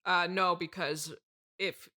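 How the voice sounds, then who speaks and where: A woman playing an eccentric, touchy horror writer in a comedy mock interview, pompous and prickly with an oblivious deadpan. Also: on the low side, takes it slowly. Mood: exasperated, self-important.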